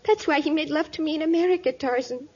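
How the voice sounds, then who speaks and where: A woman's voice: high voice, quick pace, a little mellow, natural voice. A woman playing an earnest, distressed young planter's daughter in breathless, emotional 1950s radio drama style. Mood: distressed, grieving. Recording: dull vintage 1950s radio recording.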